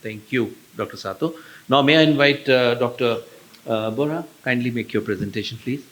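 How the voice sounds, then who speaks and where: A man chairing a conference session, summing up and inviting speakers in a measured, formal way. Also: medium pitch, a bit bright, rough recording. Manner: measured, formal, with filler restarts. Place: conference hall.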